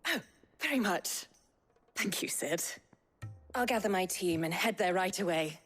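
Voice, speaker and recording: A woman's voice: lowish voice, expressive, unhurried, a bit bright, soft background music. An actress playing an earnest, bookish British engineer in a video game, precise and politely hesitant in her speech. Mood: hesitant, apologetic.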